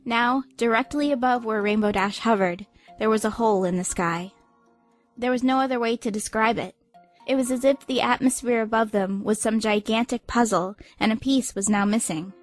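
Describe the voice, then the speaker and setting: A woman narrator reads a scripted story in dramatic audiobook style. Her voice sits slightly high, and music plays softly behind it. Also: normal speed.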